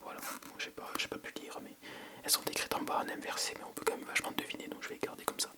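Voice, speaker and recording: An ASMR creator with a French accent from France whispers softly and breathily in an informal, hesitant way, thinking aloud while chatting unscripted close to the microphone. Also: fast talker. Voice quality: soft breathy unvoiced whisper. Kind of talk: ASMR whispering, casual unscripted quiz chat.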